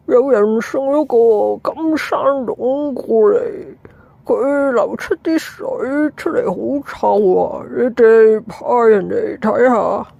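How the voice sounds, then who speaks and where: A flustered, bossy female security guard in a Hong Kong radio drama, with a Hong Kong accent. The voice is fairly high and creaky, nagging and exclaiming at a slightly unhurried pace. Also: no echo, phone-quality sound, exaggerated voice. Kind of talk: Cantonese radio drama acting.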